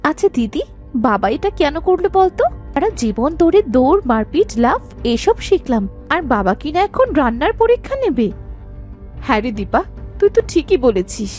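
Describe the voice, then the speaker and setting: High, girlish village daughter. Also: big pitch swings, moderate pace, darkish tone, soft background music. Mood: grumbling. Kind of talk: animated folktale dialogue acting.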